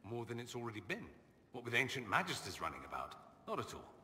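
Sardonic flirtatious mage, lively pitch, long reverb, video game. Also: male voice, quick pace, full character voice. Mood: teasing, wry. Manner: dry, teasing, sardonic. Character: witty, flirtatious male mage from the Imperium.

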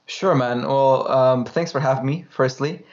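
A man's voice: mid-range voice, a little slow, darkish tone. A young man from Romania, telling his story as an interview guest in a chatty, informal way over a video call. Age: young adult. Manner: chatty, laughs easily, loose and informal. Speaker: guest, course creator. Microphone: headphones, video call. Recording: slightly dull call audio.

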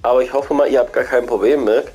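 A man's voice: medium pitch, fairly even intonation, fast, a little mellow, slightly rough, faint music behind. Chatty man with a cold. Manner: chatty, repetitive, thinks aloud. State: has a cold. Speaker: gaming channel host. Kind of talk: casual game shop commentary.

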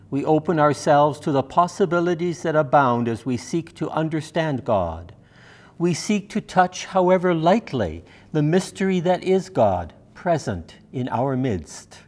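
A man in his seventies reading liturgy and prayers as a minister in a measured, formal, reverent manner. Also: on the high side, darkish tone. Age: 70s. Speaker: minister leading worship. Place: church sanctuary, indoors.

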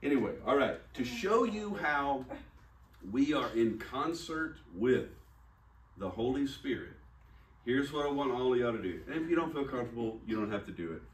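A man's voice: medium pitch, expressive, moderate pace. A man preaching a sermon, teaching in a folksy, joking way and laughing often. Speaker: pastor. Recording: roomy church hall, distant mic, faint hiss.

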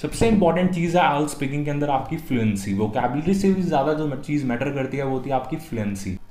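A man's voice: on the high side, quick pace. A young man from India with an Indian accent, teaching exam tips in a video lesson and stressing each tip title. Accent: Indian English. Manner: energetic, punches each tip title louder. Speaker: test-prep tutor. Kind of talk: scripted video lesson, Hindi-English mix. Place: small room at a desk. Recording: echoey room.

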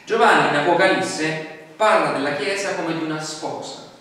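A man's voice: a little high, normal speed, sharp tone. Male pastor preaching in an echoey hall. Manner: conversational preaching with rhetorical questions and frequent fillers. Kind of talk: sermon. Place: small church hall, indoors. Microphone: distant camera mic. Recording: echoey hall from far off with faint noise.

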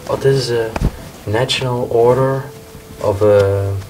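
A man's voice: mid-range voice, fairly even intonation, unhurried, darkish tone. A young man casually narrating a walk through an abandoned house, curious and pointing things out as he goes. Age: young adult. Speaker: urban explorer.

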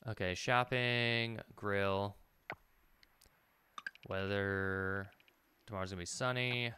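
A man's voice: lowish voice, steady tone, slow pace, pushed and bright, slight background noise. A man in his thirties giving casual gameplay commentary, rambling and thinking aloud as he plays. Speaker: let's play host. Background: game music.

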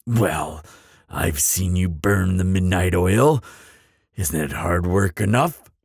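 A man voicing a proud, grumpy but soft-hearted bogeyman in an audio roleplay, with a gravelly rasp and a pompous, easily flustered delivery. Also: low voice, takes it slowly, no room sound, clean studio sound, heavily acted. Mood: exasperated. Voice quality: raspy, gravelly. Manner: pompous, flustered stammering, dramatic.

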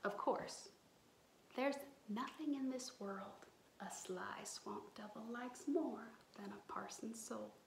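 A woman's voice: fairly high pitch, takes it slowly, gravelly. A woman in her thirties reading a storybook aloud expressively to children, recorded at home on a device at arm's length. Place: small bright room at home. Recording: roomy echo, some background noise.

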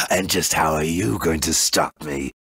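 Game arch-villain: rock-bottom pitch, raspy, grandiose, menacing, deliberate. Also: male voice, bright, forceful tone, no echo, full character voice. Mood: gloating. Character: grandiose dark male villain bent on wiping out the world. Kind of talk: video game voice acting.

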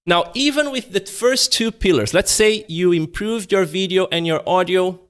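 A man in his thirties hosting a live webinar over a video call, talking casually and raising and lowering his energy to make his points. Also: moderate pace, clean tone. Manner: shifts voice energy up and down to demonstrate, conversational. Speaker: webinar presenter. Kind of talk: live online webinar talk. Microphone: video call webcam.

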